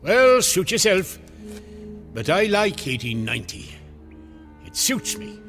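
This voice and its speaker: An actor playing an excitable, good-natured janitor with a folksy manner, in vintage comedy style. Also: male voice, sits high, slow pace, soft background music, full character voice. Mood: easygoing. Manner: folksy, animated, stammering when flustered.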